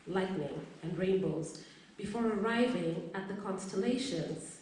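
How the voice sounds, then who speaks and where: Female guest reader, literary recitation, strong room echo, set back from the mic. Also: mid-range voice. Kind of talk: reading a literary piece aloud. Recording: very echoey room, distant mic, faint noise.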